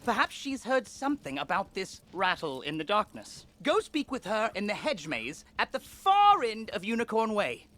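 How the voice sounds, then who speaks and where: Swooping, eager young fantasy game guide. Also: female voice, mid-range voice, big pitch swings, moderate pace, few pauses, a bit bright, a little hiss or hum. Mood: earnest, explaining. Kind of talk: video game character acting.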